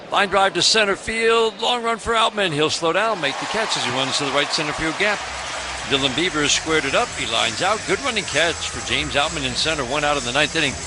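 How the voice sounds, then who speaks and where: An American man calling baseball play-by-play as a live radio announcer. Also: on the high side. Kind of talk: live radio sports commentary. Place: stadium broadcast booth. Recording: clearly noisy ballpark broadcast.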